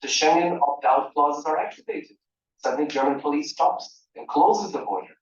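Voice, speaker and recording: A man from Portugal makes his case on a discussion panel, animated and restless, stopping to restart phrases and checking in with "right?". He sounds distant from the mic, and the echo of a large room rings around him. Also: on the high side, moderate pace. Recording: roomy, distant, dull hall mic.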